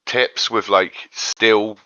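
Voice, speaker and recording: A man co-hosting a casual anime discussion, thinking aloud in a halting way. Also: fairly low pitch, fairly even intonation, sharp tone, dry recording, highs cut off. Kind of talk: casual chat.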